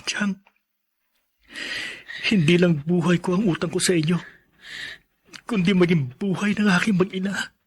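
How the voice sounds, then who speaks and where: A repentant young father in a family melodrama, acted by a man, speaks earnestly and with deference. His voice sits a little high and has a husky edge, always pleading for understanding. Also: steady tone, a little slow, pauses often. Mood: pleading, remorseful. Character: remorseful young husband and new father, respectful, pleading. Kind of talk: melodramatic drama acting.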